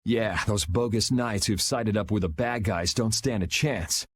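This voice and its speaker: A man's voice: on the low side, a little slow, slight background noise, lightly acted. An actor voicing a cool, blunt young swordsman in a video game, dry and laid-back. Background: game music.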